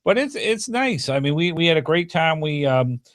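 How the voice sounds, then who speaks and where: An American man hosting a podcast, chatting casually about a trip in a halting, easygoing way. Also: on the high side, very animated, fairly bright tone, no echo, studio quality. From United States, formerly lived in Michigan. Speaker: podcast host. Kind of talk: casual chat, recounting a trip.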